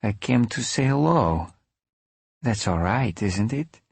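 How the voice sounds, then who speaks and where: A man voicing a playful, flirtatious woman zombie hunter with a breathy Czech accent, in an audiobook. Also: mid-range voice, lively intonation, takes it slowly, no room sound, pitched above the performer's own voice, full character voice. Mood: warm greeting. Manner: playful, teasing.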